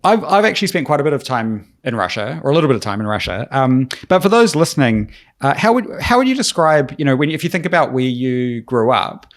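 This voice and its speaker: A man's voice: mid-range voice, sing-song, a little fast, hardly any pauses. A man hosting a podcast, asking questions in a conversational interview style.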